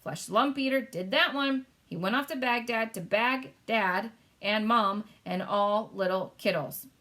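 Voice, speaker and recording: A woman's voice: fairly high pitch, unhurried, pushed and bright. A big friendly giant from a storybook, played by a woman reading aloud. He is simple and eager, talking in homely, mangled grammar. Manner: folksy, ungrammatical speech.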